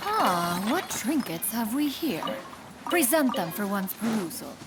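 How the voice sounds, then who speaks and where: A woman's voice: mid-range voice, big pitch swings, a little slow. An actress playing a spirited, refined young female inventor in a video game, with formal, precise, old-fashioned diction. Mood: curious. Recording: loud music over the voice. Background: loud game music.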